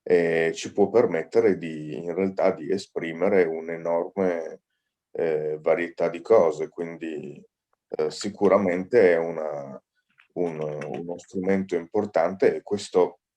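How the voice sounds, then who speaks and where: A man's voice: low-pitched, steady tone, slow pace, mellow. A man speaking as a guest for a radio association, hesitant and searching for words. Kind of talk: guest answering in an online presentation.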